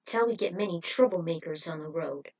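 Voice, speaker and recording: A woman playing a steady, genial older man who runs a diner, speaking in a measured, plain way for a story. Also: fairly low pitch, far from the mic, highs cut off, close to an everyday voice. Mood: genial, matter-of-fact.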